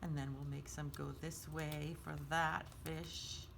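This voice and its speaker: A woman's voice: sits low, little pitch movement, slow pace, vocal fry, slight background noise. A woman hosting a children's storytime craft, explaining each step in simple, sing-song, child-directed language.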